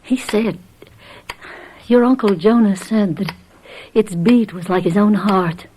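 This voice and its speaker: Radio drama: female actor as fretful, frightened wife, medium pitch, unhurried, hushed and worried. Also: slight background noise, natural voice. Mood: haunted, fearful. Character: anxious, fretful wife in an eerie house.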